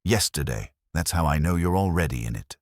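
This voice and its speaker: A man's voice: a bass voice, animated, a little fast, no room sound, studio quality. A man voicing a clipped, decisive businesswoman. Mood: steady, insistent. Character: brisk, determined businesswoman in her late thirties.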